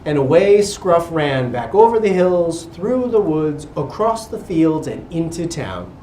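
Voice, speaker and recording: Animated man reading aloud, roomy distant recording. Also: fairly high pitch. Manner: expressive, pausing for asides to the children. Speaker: guest reader at a school. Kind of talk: animated picture-book read-aloud to children. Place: indoor school room with children. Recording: roomy, distant mic with background noise.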